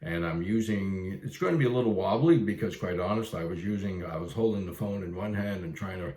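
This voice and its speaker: Low-pitched Canadian man in a roomy space. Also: fairly quick, a little mellow. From Ontario, Canada. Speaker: amateur radio video presenter. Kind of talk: casual explainer for new hobbyists.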